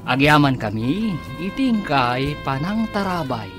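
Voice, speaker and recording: A man's voice: on the high side, sing-song, takes it slowly. A man with a Filipino accent announcing and narrating a scripted radio drama in a dramatic style. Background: music.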